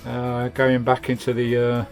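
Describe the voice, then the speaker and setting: British male vanlife vlogger, mid-pitched, casual and chatty, slangy matey delivery, music in the background. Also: completely flat delivery, unhurried. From United Kingdom. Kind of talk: casual hands-on video tour and fitting commentary. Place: inside a small campervan.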